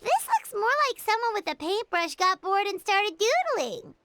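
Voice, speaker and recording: A woman's voice: extremely high pitch, sing-song, normal speed, exaggerated voice. A woman voicing a small, cheerful, confident childlike fairy companion in a video game, chatting casually and expressively. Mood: chatty, curious. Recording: loud game music behind.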